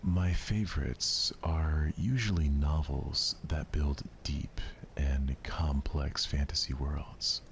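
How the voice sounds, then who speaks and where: Man playing a very low, slow, bookish shy girl. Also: steady tone, vocal fry, a little hiss or hum, heavily acted. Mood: earnest, absorbed. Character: gentle, reserved, bookish teenage girl, passionate about reading.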